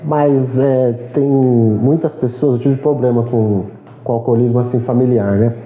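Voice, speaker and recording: A man's voice: medium pitch, steady tone, unhurried. A man hosting a podcast, asking questions and reacting with short replies in a casual interview. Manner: short prompts and backchannel replies. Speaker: podcast host. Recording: echoey room, slightly dull sound.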